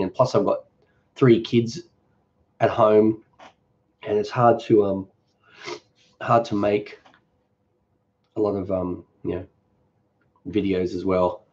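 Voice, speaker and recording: Mellow, easygoing Australian man. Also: on the low side, dull audio. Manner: relaxed, rambling, joking. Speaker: cooking channel host. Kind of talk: casual live-stream Q&A.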